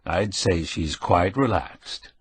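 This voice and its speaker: A man's voice: sits low, slow pace, slightly rough, dry recording, exaggerated voice. A man voicing a polite, dry-witted man asking questions.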